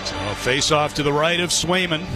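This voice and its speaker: A man's voice: a little high, expressive, moderate pace, over music. A man doing live play-by-play commentary of an ice hockey game for radio. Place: sports arena broadcast position. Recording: live arena broadcast with loud background noise. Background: loud arena noise.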